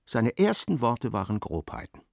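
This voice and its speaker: A man's voice: mid-range voice, wildly swooping pitch, moderate pace, creaky, dry recording, studio quality, full character voice. A man narrating a literary radio play in a dramatic, scripted style.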